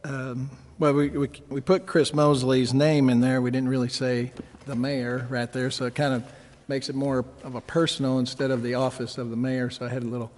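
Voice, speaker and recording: Guy on city council talking kinda folksy, hedges a lot, not much pitch movement, big echoey room. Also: little pitch movement, darkish tone. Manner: halting, folksy, hedging. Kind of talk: informal remarks at a public meeting. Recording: echoey council chamber, long reverb.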